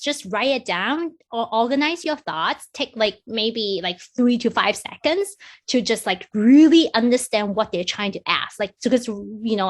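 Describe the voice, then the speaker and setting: A woman who is not a native English speaker, a hiring manager giving loud, emphatic advice on a casual panel over a video call. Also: a little high.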